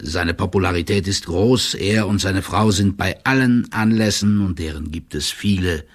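A man playing an older, loyal court clerk who delivers a confidential report in a formal, measured and officious manner, as in an audiobook drama. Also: low voice. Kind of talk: dramatised first-person reading of a formal written report.

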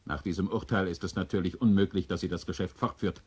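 A man's voice: low-pitched, fairly even intonation, fast talker, a little mellow, slightly rough. An actor playing a devoted, eager male robot servant in a 1970 German radio play, deferential and insistent. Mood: eager, reassuring.

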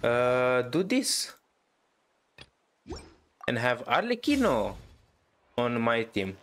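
A man's voice: mid-range voice, huge pitch swings, fairly bright tone, a little hiss or hum. A man giving casual, enthusiastic reaction commentary over a video game, repeating himself and drawing out his words. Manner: drawn-out, repetitive, enthusiastic. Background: faint game music.

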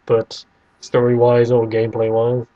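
A man's voice: medium pitch, completely flat delivery, unhurried, warm tone. Dull-sounding young man, teasing podcast host. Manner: teasing, sarcastic. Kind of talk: casual banter about video games.

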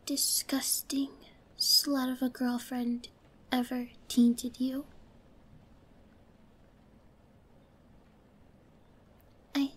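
An obsessed, volatile yandere girlfriend, performed by a woman for ASMR roleplay. Her voice is very high and girlish. She speaks slowly and possessively, often sinking into a breathy whisper. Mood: jealous, menacing.

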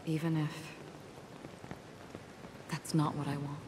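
An actress voicing a calm, melancholy wandering swordswoman in a video game, speaking softly and with measured restraint. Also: low-pitched, noisy background. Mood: quiet, melancholy. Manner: soft, measured, subdued. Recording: echoey game audio with music.

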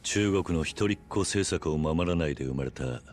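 Very deep, stoic tough man. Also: normal speed, a little mellow. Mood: grave. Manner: terse, grave. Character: stoic, terse, resolute middle-aged tough man. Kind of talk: video game drama acting.

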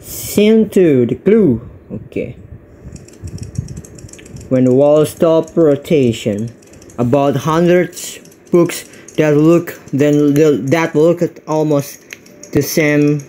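A man's voice: on the high side, takes it slowly, long pauses, warm tone, slight background noise. A man with an Indonesian accent giving playful, exclamatory, casual commentary as he plays a game. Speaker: gaming video creator.

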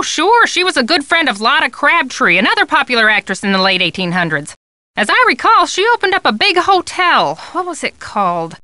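An actress playing a chatty, wry American history expert in a video game. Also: female voice, high voice, bright, forceful tone, no echo, exaggerated voice. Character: chatty, wry woman who knows local history. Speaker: game voice actor. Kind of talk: conversational video game dialogue.

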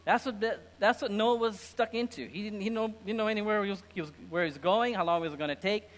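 Higher-voiced man preaching in an echoing hall. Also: quick pace. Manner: animated, informal, acts out imagined dialogue. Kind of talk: conversational sermon with humour. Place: indoor church stage with lectern and screen. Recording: reverberant hall sound.

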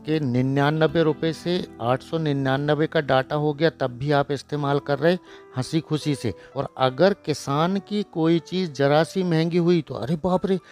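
A man's voice: fairly high pitch, steady tone, measured pace. A man hosting a poultry farming channel, narrating a voice-over with blunt, lecturing and sarcastic remarks about careless buyers. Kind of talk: voice-over narration for a farm video. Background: soft background music.

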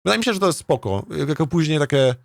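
A man's voice: fairly high pitch, very animated, fast, creaky, no room sound. A man chatting casually as a game streamer, thinking aloud in a hesitant, rambling way and often repeating his words. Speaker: streamer, let's play host.

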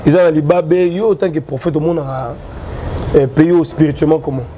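A young man with a Congolese French accent interviewing a prophet, asking halting questions and giving steady affirmations. He is recorded outdoors under cover. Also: on the high side, slight background noise. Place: covered outdoor terrace, tiled wall.

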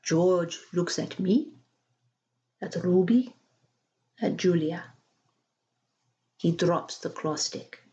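A middle-aged woman reading a children's novel aloud expressively, in a measured, deliberate way. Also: fairly low pitch, warm tone, dull audio. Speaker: storybook reader. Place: small home room.

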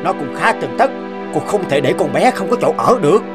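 Sly, animated smuggler. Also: male voice, on the high side, fairly quick, loud music behind the voice. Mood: persuasive. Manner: sly, persuasive. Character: scheming burly smuggler, coaxing and cynical. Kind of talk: dubbed cartoon acting.

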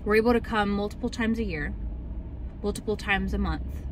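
A woman's voice: mid-range voice, brisk, background noise. A young woman vlogger chatting and ranting casually in a car, full of likes and ums. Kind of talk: casual chat.

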